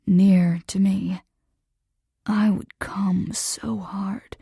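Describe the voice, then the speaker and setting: A woman voicing a hoarse, whispering man. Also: mid-range voice, warm tone, dry recording, clean studio sound, heavily acted. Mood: husky, intimate. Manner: whispered, slow and breathy. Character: hoarse aroused adult man, gentle and frank.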